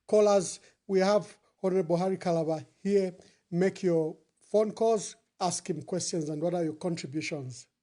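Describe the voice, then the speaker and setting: This is a Zambian man working as a phone-in host. His voice sits high for a man, with a mellow, rounded tone. He speaks as a live presenter fielding calls and questions. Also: moderate pace. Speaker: radio call-in host.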